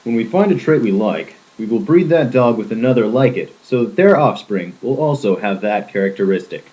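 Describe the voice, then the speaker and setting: A young man putting on a creepy, sadistic dog breeder with a slow sinister drawl. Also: lowish voice, expressive, darkish tone, lo-fi sound, close to an everyday voice.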